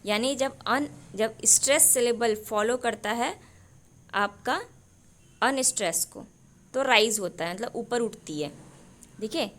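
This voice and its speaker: A woman's voice: fairly high pitch, takes it slowly, long pauses, fairly bright tone. A woman teaching exam prep, asking rhetorical questions, answering them herself and correcting herself as she goes. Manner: mixes Hindi with English terms; corrects herself mid-sentence.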